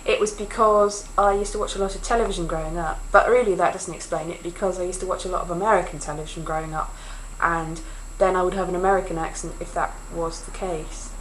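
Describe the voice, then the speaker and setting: A woman's voice: fairly low pitch, fairly even intonation, fast, hardly any pauses, a little hiss or hum, poor audio. Rambling self-mocking woman vlogger. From Wales. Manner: self-mocking, wandering, jokey asides. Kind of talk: rambling casual monologue.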